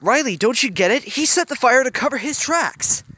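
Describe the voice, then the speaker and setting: A man voicing a hot-headed teenage boy inventor who swings from quiet calm to snarling rage. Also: medium pitch, a little fast, vocal fry, background noise. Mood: furious. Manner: calm one moment, snarling in rage the next.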